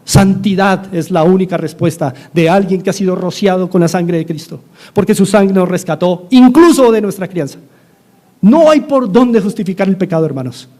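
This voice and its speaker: A man's voice: high voice, expressive, moderate pace, darkish tone. A middle-aged man with a Colombian accent, preaching a sermon as a pastor, earnestly repeating his exhortations. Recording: studio-like radio sermon.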